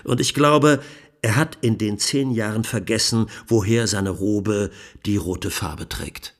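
Solemn, indignant male courtroom defence lawyer. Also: on the low side. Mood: grave, bitter. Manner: solemn, rhetorical, emphatic oratory with deliberate pauses. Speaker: actor playing a defence lawyer. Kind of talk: dramatized courtroom closing speech, radio documentary.

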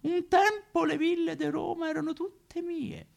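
An actor playing a boozy, cheeky, lonely homeless tramp of fallen nobility, in a rambling, foul-mouthed, singsong stage monologue with a Roman accent. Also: male voice, extremely high pitch, fairly even intonation, unhurried, gravelly, exaggerated voice. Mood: boastful, wistful. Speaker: stage actor in a solo show. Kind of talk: theatrical comic monologue addressed to listeners.

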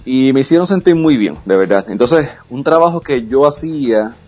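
A man's voice: mid-range voice, expressive, normal speed, dark tone, lo-fi sound, faint music behind. A man, an esports caster speaking frankly and confidently as a guest in a casual interview. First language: Spanish. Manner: frank, self-assured, with frequent restarts.

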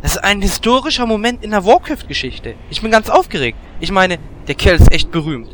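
Animated, vain little gnome warlock. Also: male voice, high voice, brisk, background noise. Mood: thrilled. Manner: animated, self-absorbed. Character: Dimitri, vain, scheming male gnome warlock. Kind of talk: comedic fantasy audio drama.

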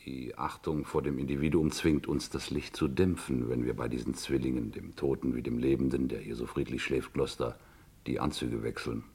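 An actor playing a pompous, philosophizing rogue in a German radio crime play, gravelly and grandiloquent, lecturing as a self-styled aesthete. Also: male voice, rumbling low, steady tone, moderate pace, darkish tone, exaggerated voice. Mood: solemn, smooth-talking. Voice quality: gravelly, husky.

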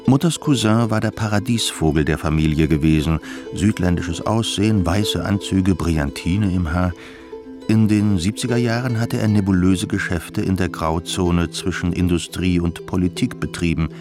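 A man's voice: deep voice, normal speed, lightly acted. A man narrating a scripted radio play as a wry, literary storyteller. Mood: wry narration. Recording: music behind the voice.

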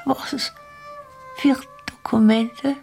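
Slow, dazed, weary woman. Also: on the high side, steady tone, a little mellow, soft background music, full character voice. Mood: dazed, tired. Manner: halting, absent, breathy half-whispers. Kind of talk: German radio drama acting.

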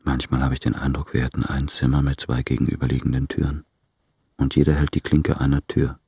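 An actor playing a brooding, self-doubting lover in a radio play, with measured, introspective delivery. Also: male voice, extremely low pitch, flat delivery, dark tone, husky, no echo, clean studio sound, full character voice. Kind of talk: literary German radio play monologue.

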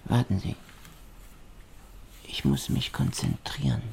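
An actor playing an intense, self-absorbed male musician and inventor in a radio play, speaking in a hushed, solemn, incantatory way. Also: mid-range voice, slow, creaky, slight background noise. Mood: hushed, proud. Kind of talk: German art radio play monologue. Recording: studio radio play under loud music. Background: loud music.

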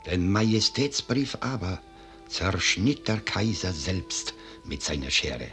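A man's voice: low voice, very animated, takes it slowly, gravelly, a bit bright, full character voice. A man playing a grave, proud and mournful old Bohemian cellar master in a 1950s German radio drama. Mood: proud, solemn. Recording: 1950s radio recording, music behind.

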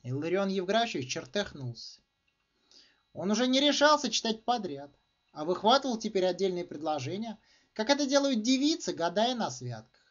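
A man with a high-pitched voice narrates a short story with emphatic, theatrical phrasing. His intonation swings widely from phrase to phrase. The recording sounds dull and muffled. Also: very animated, moderate pace, fairly bright tone. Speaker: author reading own short story. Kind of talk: expressive literary narration.

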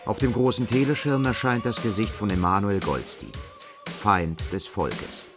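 An actor narrating a dystopian radio drama as a sober storyteller in a measured manner. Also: male voice, on the low side. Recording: music behind the narration.

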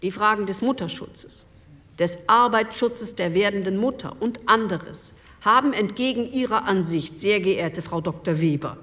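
A woman's voice: mid-range voice, a bit bright. An actress playing a firm woman delegate who argues her point with pointed rhetoric in a radio drama. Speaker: politician speaking in a committee debate. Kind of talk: radio drama reenactment of a parliamentary speech. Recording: long hall reverb.

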